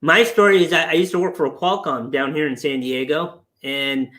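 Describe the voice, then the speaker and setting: A man in his forties with a slightly higher voice talks through retirement planning in an easy, explanatory way. He sounds relaxed and laughs now and then. The sound comes over a video call from a room at home. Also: normal speed, slight background noise. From England. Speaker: financial planner guest. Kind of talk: casual webinar explanation.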